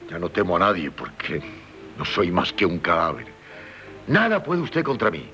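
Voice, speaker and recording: Deep, gravelly despairing condemned man. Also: huge pitch swings, full character voice. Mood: bitter defiance. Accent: Castilian Spanish. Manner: hesitant, brooding, halting, repeating words. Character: despairing, defiant man on trial, nervous and world-weary. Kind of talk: 1970s Spanish radio drama acting. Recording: 1978 radio recording with loud music.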